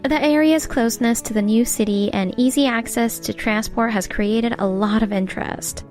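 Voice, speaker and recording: A woman's voice: a little high, moderate pace, a little mellow, lightly acted. A woman voicing a careful, perceptive young secretary in an earnest manga dub style. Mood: businesslike. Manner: earnest, professional. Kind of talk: manga dub dialogue acting. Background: faint background music.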